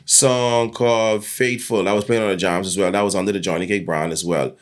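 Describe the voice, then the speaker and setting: A man with a Bahamian accent speaking as a musician guest in an interview, telling stories in a casual, animated, slangy way and often seeking agreement. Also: fairly low pitch, brisk. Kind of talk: casual conversational storytelling.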